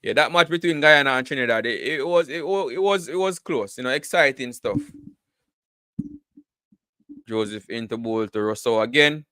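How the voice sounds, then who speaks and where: A man's voice: medium pitch, lively intonation, moderate pace, bright, forceful tone, smooth, dry recording. A man giving casual live cricket commentary, full of repeated words and "you know" fillers.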